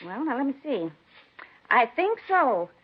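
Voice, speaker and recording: A woman's voice: sits high, very animated, a little slow, breathy edge, exaggerated voice. An actress playing a nosy, prim, chatty neighbor woman who rambles fussily in a 1950s radio drama. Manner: rambling, fussy, gossipy. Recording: muffled 1950s radio recording.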